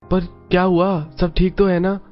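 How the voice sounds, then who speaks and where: A gentle young husband, voiced by a man, speaks softly and placatingly in a slightly high voice. The sound is dull and lo-fi. Faint music plays behind him. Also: level tone, normal speed, lightly acted. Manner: soft-spoken, placating. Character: young office-worker husband, gentle and resigned.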